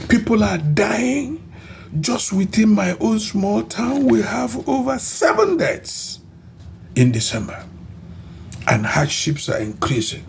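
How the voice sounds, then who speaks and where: A middle-aged Nigerian man, a bishop, preaching and praying fervently in a recorded audio message with emphatic, drawn-out phrases. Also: high voice, gravelly, a little mellow, a little hiss or hum.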